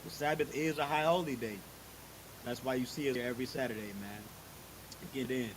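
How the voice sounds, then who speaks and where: Man, mid-pitched, rambling casual delivery, repeats himself, steady hiss behind. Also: fairly quick, low-quality recording. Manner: loose, repetitive, frequent filler phrases. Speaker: congregation member hosting a religious service. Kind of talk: casual introduction to a religious service.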